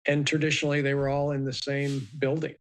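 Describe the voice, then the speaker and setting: A man giving a measured, explanatory expert commentary in a live news interview, with many ums and uhs. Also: medium pitch, monotone. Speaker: aviation expert guest.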